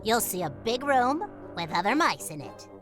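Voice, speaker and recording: A woman playing a brisk, stern but fair male mouse foreman in an audio drama. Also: on the high side, very animated, a bit bright, soft background music. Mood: businesslike.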